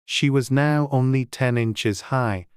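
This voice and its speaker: Slow, smooth-voiced man narrating a storybook. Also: mid-range voice, dry recording, clean studio sound. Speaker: audiobook narrator.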